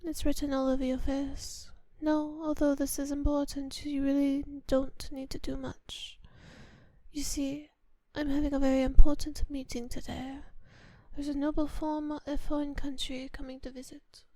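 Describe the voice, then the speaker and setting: A kind vampire mistress, played by a woman, speaks in a high yet velvety soft voice, slipping often into whispers. She is close on the mic in a very dry space, like an ASMR roleplay. Her phrasing is refined and unhurried, tender toward the listener and quietly in command. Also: little pitch movement, no echo. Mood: reassuring. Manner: refined, formal diction. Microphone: close microphone in a dry space.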